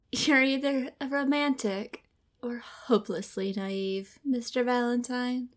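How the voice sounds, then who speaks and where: A cold femme fatale, played by a woman from a noir audio drama, with a fairly high voice. She speaks slowly and formally, haughty and calculating, with measured condescension. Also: steady tone. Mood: scornful.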